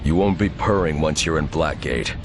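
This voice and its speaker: An actor playing a grim, relentless masked vigilante in a video game, gravelly, terse and stern. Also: male voice, rumbling low, normal speed, background noise. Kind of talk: video game voice acting with brooding narration.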